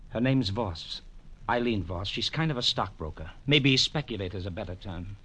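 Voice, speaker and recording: A man playing a nervous, pleading accountant, earnest and halting, in 1940s radio drama style. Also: lowish voice, animated, a bit bright, husky. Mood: apologetic. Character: anxious, pleading male accountant in serious trouble.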